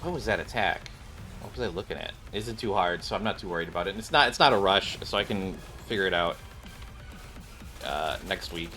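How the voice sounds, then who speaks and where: A man with a lowish voice talks casually over a video game stream. He rambles and mutters to himself as he plays, with game music behind him. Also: brisk, pushed and bright. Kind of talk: casual live stream chat over gameplay.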